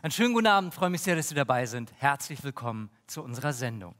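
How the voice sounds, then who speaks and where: A man in his thirties playing an earnest, polite talk-show host with a formal, measured manner. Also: mid-range voice, sing-song, a bit bright, faint music behind. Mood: welcoming. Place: large brick-walled indoor stage. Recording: reverberant theatre hall.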